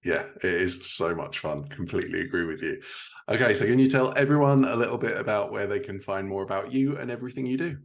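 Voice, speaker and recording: A man's voice: lowish voice, lively intonation, fast, gravelly. A man speaking casually as a podcast host, chatting with a guest in an interview.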